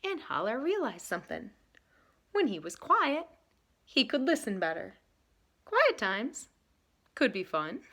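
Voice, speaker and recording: A woman reading aloud to children in an expressive style, leaning dramatically on key words. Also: on the high side, measured pace, long pauses. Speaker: storybook reader.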